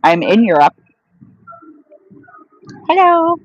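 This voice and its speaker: A woman's voice: on the high side, sing-song, slow, slightly rough, dry recording, poor audio. Woman, casual live-stream host, rambling chatty delivery, dry close sound. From United States. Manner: chatty, rambling, reads and answers viewer questions aloud. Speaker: vlogger answering live chat.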